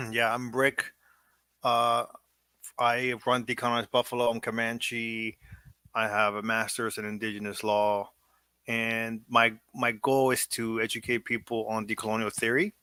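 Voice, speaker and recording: Flat-toned man, crisp and dry. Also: medium pitch, a little slow, no room sound. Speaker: guest, podcaster. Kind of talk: plain self-introduction.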